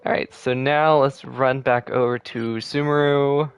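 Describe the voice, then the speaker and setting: A man livestreaming a game, chatting casually with viewers and thinking aloud in a relaxed way. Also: medium pitch, unhurried, clear, resonant tone, dry recording. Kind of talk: casual live gameplay chat with viewers. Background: video game music.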